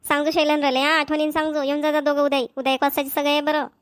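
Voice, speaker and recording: A woman voicing a busy village mother in an amateur cartoon comedy, speaking homely, repetitive Vidarbha Marathi in a hurried manner. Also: high voice, flat delivery, lightly acted. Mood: brisk, insistent. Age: middle-aged. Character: busy village mother rushing to invite neighbours to engagement.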